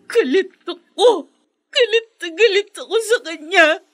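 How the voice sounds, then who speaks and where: A woman's voice: very high voice, measured pace, fairly bright tone. A woman acting a bitter, tearful daughter who feels betrayed, in a melodramatic radio drama. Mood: bitter anguish. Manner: tearful, wailing delivery.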